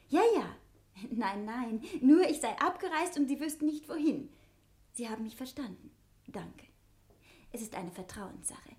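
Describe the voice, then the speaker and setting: An actress playing an emotional, stubborn young wife in a 1960s German radio crime play, firm and insistent. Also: female voice, fairly high pitch.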